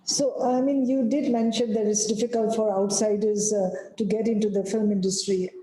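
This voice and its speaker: A woman's voice: mid-range voice, steady tone, normal speed, mellow, roomy sound, rough recording. A woman interviewing a guest, asking questions hesitantly with many fillers. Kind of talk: conversational interview.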